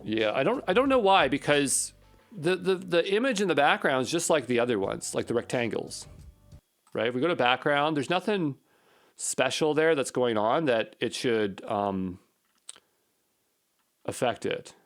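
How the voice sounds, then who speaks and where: A man's voice: medium pitch, expressive, moderate pace, faint music behind. A man giving an unscripted coding walkthrough as an instructor, thinking aloud and muttering short repeated phrases as he puzzles things through. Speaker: programming instructor.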